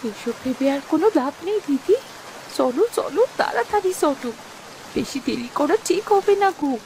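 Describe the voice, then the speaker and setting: A woman's voice: sits high, expressive, normal speed, creaky, darkish tone, a little hiss or hum, poor audio. A woman voicing a worried, urgent young village wife in a cartoon story, pleading and emotional. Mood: anxious, pleading.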